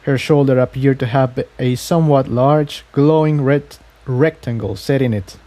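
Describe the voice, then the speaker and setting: Male story reader with a mellow, relaxed tone, reading scripted prose with small stumbles. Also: mid-range voice, moderate pace. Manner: stumbles and repeats phrases while reading. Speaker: fan fiction reader.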